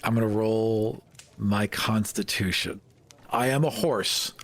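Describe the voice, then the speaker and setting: A man's voice: fairly low pitch, takes it slowly, fairly bright tone. A man playing a tabletop game, talking casually and emphatically with strong opinions.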